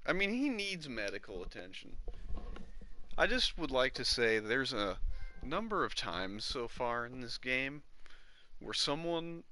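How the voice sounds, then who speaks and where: A man's voice: mid-range voice, very animated, a little slow, a little hiss or hum. A man hosting a casual game playthrough with joking, wry asides. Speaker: host of a gaming show. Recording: loud game music behind voice.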